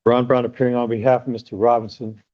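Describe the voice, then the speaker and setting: A man with a medium-pitched voice addresses the court as a defense attorney. The recording is muffled and lo-fi. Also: level tone, fast. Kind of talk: spoken courtroom advocacy. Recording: dull lo-fi courtroom audio.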